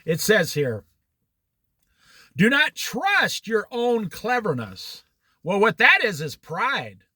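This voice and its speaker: A man's voice: sits high, huge pitch swings, slow pace, bright, forceful tone, breathy edge, no room sound, studio quality. A man leading an informal Bible study, throwing out challenging rhetorical questions and jokey asides.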